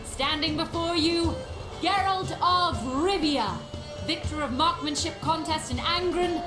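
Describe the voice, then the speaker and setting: A woman voicing a brisk, sly female circus leader in a video game, theatrical, haughty and sharp-witted. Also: extremely high pitch. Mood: showy, proclaiming. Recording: echoey game scene with music.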